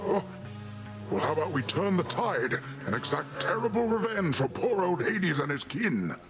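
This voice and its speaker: A man's voice: high voice, big pitch swings, moderate pace, over loud music. A man acting a boastful, goofy middle-aged sea god uncle in a video game, with a grand, jovial theatrical delivery. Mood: hearty, vengeful.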